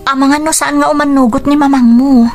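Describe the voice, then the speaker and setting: An actress with an Ilocano accent playing a gentle, anxious young fiancee in a Philippine radio drama. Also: female voice, high voice, steady tone, brisk, soft background music. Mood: worried. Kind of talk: Philippine radio drama acting and first-person narration.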